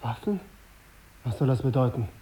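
An actor playing a defiant, brooding young journalist in a radio drama, with a tense, intimate and bitter manner. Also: male voice, medium pitch, animated, normal speed, mellow, husky, poor audio. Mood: upset, protesting. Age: young adult. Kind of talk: radio drama acting with first-person narration.

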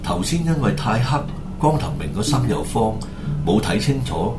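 A man's voice: lowish voice, big pitch swings, moderate pace. A man with a Hong Kong Cantonese accent narrating a scripted ghost story for a radio drama. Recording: echoey, loud music underneath.

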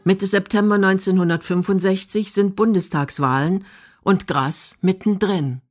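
Radio doc narrator reading a script, medium pitch, some music behind. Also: unhurried. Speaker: documentary narrator.

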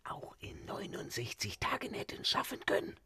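An actor playing an agitated, eager manservant in a German radio play, confiding urgently. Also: male voice, normal speed, bright, forceful tone, dry recording, in a whisper, lightly acted. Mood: urgent whisper.

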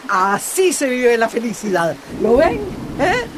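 A woman's voice: on the high side, very animated, slow pace, background noise. An actress playing a joyful, sentimental old village mother in a radio soap, with a Costa Rican accent. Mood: elated. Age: older. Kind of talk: radio soap opera acting.

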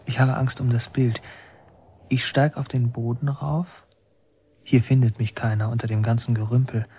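A man acting as first-person narrator of a radio drama, an anxious son telling memories in hushed, intent short phrases. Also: mid-range voice, flat delivery, moderate pace, husky. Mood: tense, secretive. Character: son recounting tense childhood memories, anxious and secretive.

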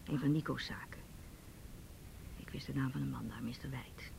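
Radio drama: reserved, weary female provider, low voice, curt delivery, slight background rumble. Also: fairly even intonation, normal speed, creaky, full character voice. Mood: bitter, resigned. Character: guarded, weary woman providing for younger dependant. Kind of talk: naturalistic Dutch radio drama acting.

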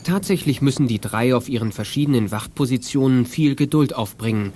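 A man's voice: medium pitch, a little fast, warm tone, slight background noise, lightly acted. A man giving scripted narration for a German children's radio play.

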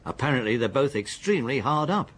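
An actor with an English accent playing a methodical, deferential police detective in a radio drama, his voice husky. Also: mid-range voice, animated, a bit bright. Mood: confident, deferential. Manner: deliberate, factual, deferential. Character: methodical, deferential male police detective, confident and matter-of-fact. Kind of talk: British radio drama acting.

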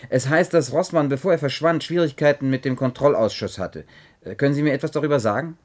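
A man's voice: medium pitch, quick pace, close to an everyday voice. An actor playing an earnest, polite investigating chronicler in a German radio play, hesitant but persistent in his questions.

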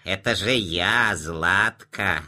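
Children's audio play: proud beetle, very low, forceful, unhurried. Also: male voice, exaggerated voice. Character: proud little sun-loving beetle.

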